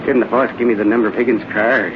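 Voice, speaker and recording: An actor playing a menacing American hired thug, curt and bossy, talking tough slang in old radio drama style. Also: male voice, lowish voice, steady tone, fast talker, close to an everyday voice. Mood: impatient. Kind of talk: 1930s-40s radio drama acting. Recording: muffled, very hissy vintage radio broadcast.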